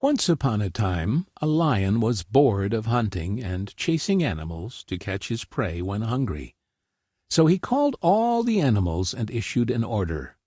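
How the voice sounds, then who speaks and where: A man's voice: on the low side, sing-song, a little slow, dark tone, breathy edge, dry recording, clean studio sound. A man narrating scripted fairy tales for children as a storyteller.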